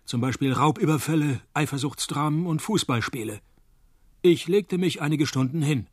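An actor playing a cynical, wisecracking male private detective in a radio play, narrating and talking in a dry, laconic deadpan. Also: on the high side, moderate pace, dry recording, close to an everyday voice. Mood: dry, sardonic.

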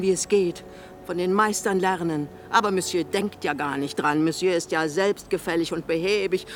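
A woman's voice: on the low side, a little hiss or hum, close to an everyday voice. An actress playing a sick, eccentric older mother in a German radio play, theatrical, reproachful and sarcastic. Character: sick, eccentric old mother, bitter, manipulative, scolding her son.

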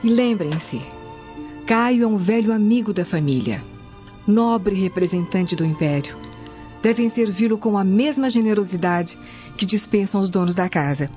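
A woman's voice: mid-range voice, expressive, moderate pace, warm tone, faint music behind, close to an everyday voice. An actress with a Brazilian accent playing an authoritative Roman lady of the house in a radio drama, formal and commanding. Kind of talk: dubbed radio drama acting. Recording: dull lo-fi audio.

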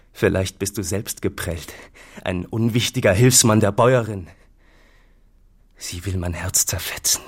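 Embittered farmer, radio drama, husky low voice, suspicious, formal, declamatory, accusing. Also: male voice. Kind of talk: German literary radio drama acting.

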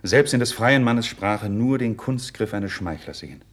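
A man's voice: on the low side, lively intonation, moderate pace. An actor playing an idealistic, proud young nobleman in a classical radio drama, with earnest, declamatory, formal diction. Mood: grave, reproachful. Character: idealistic young nobleman, passionate, proud, candid before his king. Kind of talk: 1950s German radio drama, classical verse acting.

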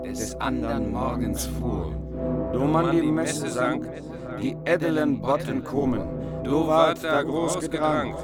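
A man solemnly reciting medieval epic verse in archaic German, measured and formal. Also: medium pitch. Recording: music behind the voice. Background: music.

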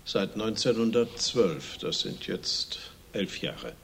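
A man's voice: lowish voice, animated, slow, creaky, fairly bright tone, husky, heavily acted. An actor playing a long-serving, discreet butler in a radio crime drama, formal, deferential and precise in his speech. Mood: composed, formal.

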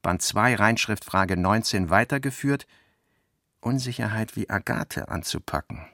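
An actor playing a brooding male novelist who reads out his own work notes in clipped, measured phrases for a radio play. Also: on the low side, expressive, creaky, bright, forceful tone, no room sound, studio quality, full character voice. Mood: sober, noting. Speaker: actor reading a writer's notebooks. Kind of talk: radio drama reading of diary notes.

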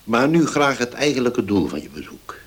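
An actor playing an older, well-off father in a radio play, indulgent and gruffly dignified, weighing his words. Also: medium pitch, very animated, normal speed. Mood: wry. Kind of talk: Dutch radio play acting.

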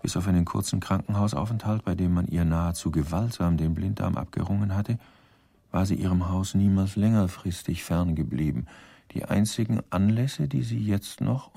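A man with a low, creaky voice narrates a radio drama. His delivery is deadpan and formal, with a crisp edge and a very dry, close sound. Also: no room sound.

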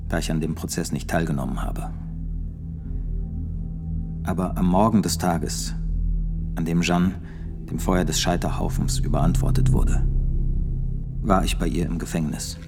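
Ein Schauspieler spielt in einem Hörspiel einen ernsten mittelalterlichen Mönch, der langsam und feierlich als Zeuge aussagt. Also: male voice, low voice, steady tone, vocal fry, over music. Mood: grave, solemn. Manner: slow, solemn, deliberate recounting. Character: grave medieval friar testifying as witness to an execution. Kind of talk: radio play testimony.

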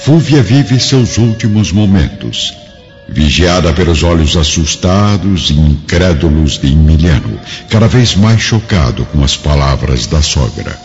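A man's voice: rumbling low, sing-song, measured pace. A man narrating a radio drama in a solemn, dramatic scripted style. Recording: muffled, long reverb, loud music behind.

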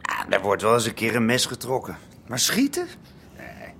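An actor playing an excitable young squatter, son of a gangster, in a radio play. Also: male voice, lowish voice, normal speed, vocal fry, slight background noise, full character voice.

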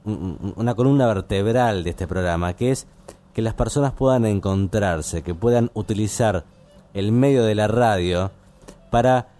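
Dark-voiced Argentine man hosting late-night radio. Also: fairly low pitch, faint music behind. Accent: Buenos Aires Argentine. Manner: halting, rambling explanations. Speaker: radio host. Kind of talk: casual live late-night radio talk.

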